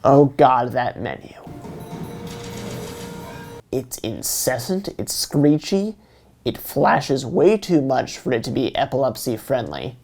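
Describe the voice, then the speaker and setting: A man narrates a scripted review with earnest enthusiasm. His mellow voice has plenty of fry and swings widely in pitch. Faint music plays behind him. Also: sing-song, slow pace, long pauses. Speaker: video reviewer.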